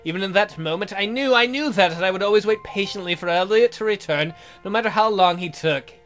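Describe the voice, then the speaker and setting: Lovesick young heroine voiced by a man, high pitch, pining and melodramatic, visual novel narration over faint music. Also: a little fast, fairly bright tone. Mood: wistful, devoted. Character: lovesick, miserable young woman narrating her romance.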